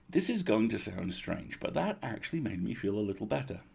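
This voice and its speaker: A man's voice: on the low side, big pitch swings, a little fast, gravelly, a little mellow, slightly rough, heavily acted. A man voicing an earnest, plain-spoken young baker girl who tells her own story, sounding uneasy. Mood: wry relief.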